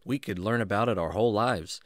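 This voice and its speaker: A man's voice: fairly low pitch, fairly quick, dry recording, clean studio sound, close to an everyday voice. A man playing a kindly, enthusiastic jungle man who teaches patiently and expressively in a children's radio drama. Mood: gentle, reflective. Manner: expressive, reassuring, explanatory.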